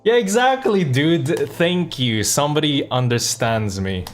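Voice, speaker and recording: A man's voice: fairly high pitch, animated, a little slow, faint music behind. A man streaming a game and chatting casually, thinking aloud in a rambling way. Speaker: live streamer. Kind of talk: casual chat while playing a game.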